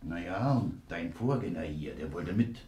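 An actor playing a gruff, impatient burglar plotting a jailbreak, blunt and conspiratorial, with a gravelly voice, in a 1960s radio crime drama, heard echoey and at a distance. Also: male voice, deep voice, expressive, moderate pace, warm tone. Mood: urgent, scheming.

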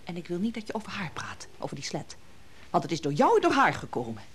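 An actress playing a bitter, money-minded, scolding sister-in-law in a radio drama, sharp-tongued and accusing. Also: female voice, on the low side, wildly swooping pitch, fast talker, breathy edge, exaggerated voice. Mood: stern, bitter. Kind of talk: naturalistic Dutch radio drama acting. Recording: noisy old radio drama recording.

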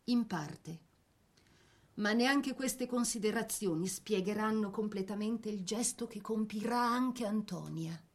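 A woman narrating a scripted biographical drama in a solemn, literary manner with measured phrasing. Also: medium pitch.

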